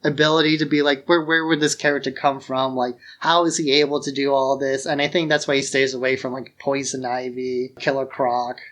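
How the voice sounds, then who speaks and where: A man's voice: medium pitch, flat delivery, fairly quick, hardly any pauses, a bit bright. A man co-hosting a podcast, chatting casually about film in an informal, enthusiastic way. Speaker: podcast co-host.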